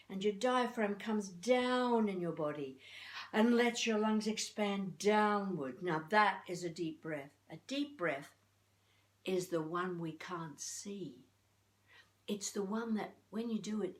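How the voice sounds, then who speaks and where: An older woman giving an informal voice-coaching lesson to camera in an expressive, chatty, encouraging way with playful asides. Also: medium pitch. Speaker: voice coach presenting a tutorial. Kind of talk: informal instructional talk to camera. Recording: echoey room, distant microphone.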